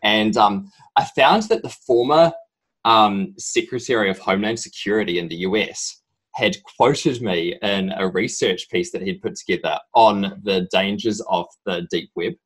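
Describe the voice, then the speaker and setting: A young man with a strong New Zealand accent and a very low, deep voice. He speaks as a podcast guest in relaxed conversation, stopping and restarting often and padding his story with ums. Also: slightly rough, rough recording. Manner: halting, rambling storytelling full of ums. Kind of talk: casual interview talk.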